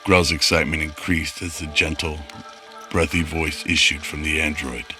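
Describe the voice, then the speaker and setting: Male radio-drama narrator, extremely deep voice, music underneath. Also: level tone, normal speed, bright, forceful tone.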